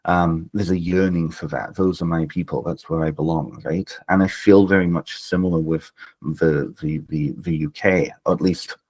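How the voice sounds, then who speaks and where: Husky-voiced Welsh man, reflective. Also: low voice, steady tone, moderate pace, a little mellow. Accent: Welsh, with northern English and Canadian traces. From Wales. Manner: earnest, musing, often asks "right?". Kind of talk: reflective conversational interview.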